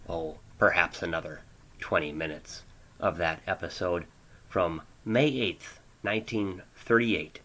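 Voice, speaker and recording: A man hosting an old-time radio program from a home studio, speaking in measured, halting phrases. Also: low voice, a bit bright, a little hiss or hum, poor audio. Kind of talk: reflective radio hosting, unhurried sign-off.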